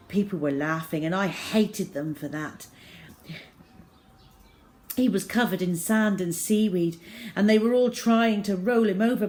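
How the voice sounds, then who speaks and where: A woman storyteller reading a children's book aloud in the first person, with dramatic emphasis that moves between emphatic and hushed delivery. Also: on the low side, slight background noise. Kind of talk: expressive first-person children's audiobook narration.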